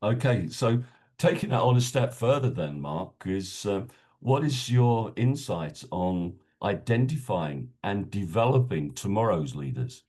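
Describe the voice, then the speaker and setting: A man's voice: on the low side, lively intonation, measured pace, warm tone, breathy edge. A man hosting a business webinar, introducing his guest and asking questions politely, with many filler sounds. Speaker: webinar host and peer group chair.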